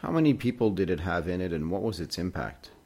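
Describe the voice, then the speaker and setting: A man's voice: deep voice, expressive, quick pace, dark tone. A man hosting a podcast, asking a guest direct, upbeat questions. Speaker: podcast host. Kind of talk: interviewing a guest.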